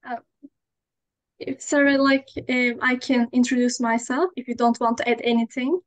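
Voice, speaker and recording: A young student woman from Turkey introduces herself over a video call, earnest and sprinkled with uhs. Her voice sits high. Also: steady tone, moderate pace.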